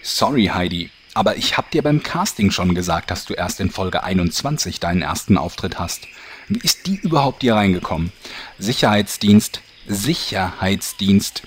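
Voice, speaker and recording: A man playing the deadpan, formal narrator of a comedic audio drama. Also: sits low, normal speed, slight background noise. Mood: officious. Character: deadpan, officious narrator and director of a parody show.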